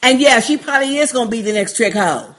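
A woman co-hosting a podcast, reacting in an exclamatory, slangy, animated way. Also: a little high, quick pace. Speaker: podcast co-host. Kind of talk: casual chat.

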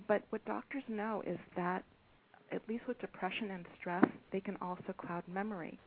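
A soft-spoken woman, an author, being interviewed over the phone, speaking hesitantly with many pauses and ums. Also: mid-range voice, fairly even intonation. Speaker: author and guest. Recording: tinny phone line with faint hiss.

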